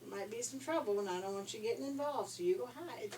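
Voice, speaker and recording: A woman's voice: fairly high pitch, fast talker, darkish tone, distant mic, a little hiss or hum, close to an everyday voice. A woman voicing a clever, polite little male pig from a children's story, agreeable and sing-song. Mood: determined.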